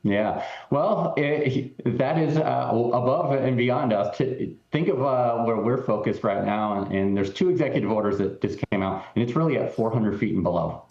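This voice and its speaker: A man's voice: mid-range voice, lively intonation, moderate pace, few pauses, darkish tone. A man, a company chief executive, answering questions in a TV interview over a remote link, explaining earnestly with frequent ums and restarts. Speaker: tech company CEO guest. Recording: roomy, dull remote feed.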